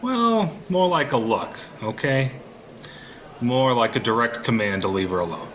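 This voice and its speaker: A man's voice: mid-range voice, expressive, a little slow, fairly bright tone, slight background noise, low-quality recording. A man with an American accent playing a skeptical, sardonic ordinary husband in an audio drama, hesitant but curious, with wry colloquial delivery. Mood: casual, wry. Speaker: actor in an audio play. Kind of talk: audio drama acting in casual American talk.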